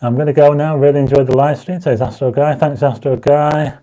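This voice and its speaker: Casting: man, croaky dry-mouthed livestream host, mellow tone, chats with viewers. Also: a little high, steady tone, fairly quick. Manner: reads viewer comments aloud, urges likes; enthusiastic when explaining stars. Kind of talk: casual livestream chat. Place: home astronomy studio.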